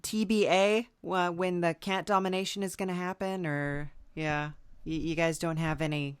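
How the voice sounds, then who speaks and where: A woman hosting a casual, playful comedy interview in a halting, sympathetic way. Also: on the low side, fairly even intonation, smooth, no room sound, studio quality. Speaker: podcast host and interviewer.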